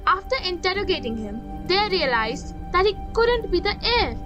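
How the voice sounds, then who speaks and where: A woman narrates a scripted recap of a story. Her voice is notably high, and music plays clearly underneath her reading. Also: big pitch swings, moderate pace, muffled recording.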